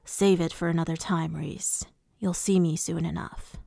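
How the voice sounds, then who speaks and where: A woman's voice: lowish voice, fairly even intonation, moderate pace, creaky, dark tone, no echo, studio quality, pitched below the performer's own voice. A woman voicing a cool, curt, commanding male lord in an audiobook fantasy story. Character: stern, guarded male fantasy High Lord.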